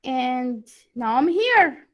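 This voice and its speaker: A woman's voice: high voice, big pitch swings, extremely slow, fairly bright tone. A woman with an Iraqi Arabic accent leading an online English class in a chatty, encouraging way over a video call. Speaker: English teacher, course host.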